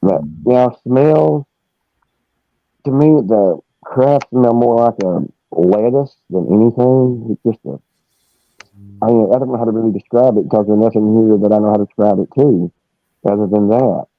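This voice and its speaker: A man's voice: lowish voice, normal speed, dry recording. A man casually recounting personal experiences as a guest, rambling and searching for words. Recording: dry, thin phone-like line.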